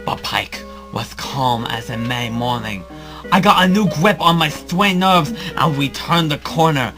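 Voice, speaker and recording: A man's voice: on the high side, expressive, a little slow, over music. A man playing a tense adventurer, narrating a dramatic hunting story in a scripted storytelling style. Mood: tense, suspenseful. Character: tense adventurer telling his tiger-hunting tale.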